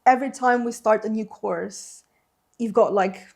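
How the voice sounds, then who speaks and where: A woman's voice: a little high, a little slow, creaky, a little mellow. A woman whose first language is Slovene, answering interview questions on a podcast in a thoughtful, self-deprecating way with many fillers. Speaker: interpreter trainer.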